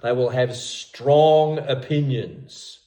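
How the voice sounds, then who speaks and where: A man's voice: medium pitch, steady tone, slow pace, warm tone. Male tutor, patient and repetitive, recorded in a roomy space at a distance. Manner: patient, repeats words, stammering restarts. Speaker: English tutor. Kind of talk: casual one-on-one language lesson.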